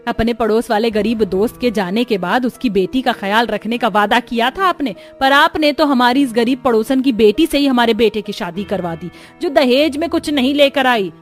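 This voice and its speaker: A woman's voice: a little high, expressive, moderate pace, few pauses, faint music behind, natural voice. A woman voicing a bitter, greedy older mother-in-law in a cartoon, sarcastic and nagging. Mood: pushy.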